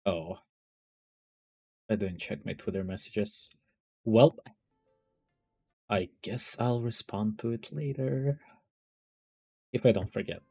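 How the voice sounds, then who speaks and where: Guy streaming, slow halting chat w viewers, music in the back. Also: on the low side, gravelly, darkish tone, breathy edge. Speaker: live streamer reading chat.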